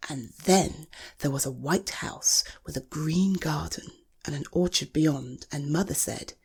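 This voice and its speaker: Husky British woman reading a bedtime story. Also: low voice. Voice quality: soft, breathy, husky. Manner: gentle, measured, soothing. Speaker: storybook reader.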